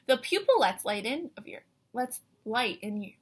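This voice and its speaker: A woman reading a picture book aloud to young children like an excited teacher, with animated wonder, questions and asides in a breathy voice. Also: a little high, moderate pace.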